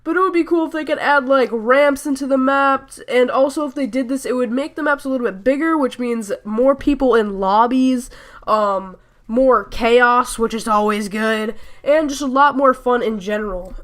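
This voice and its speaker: A woman's voice: fairly high pitch, normal speed. A young woman with a stuffy nose and sore throat, rambling through a chatty gaming voice-over full of fillers and self-corrections. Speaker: gaming YouTuber. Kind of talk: casual voice-over commentary.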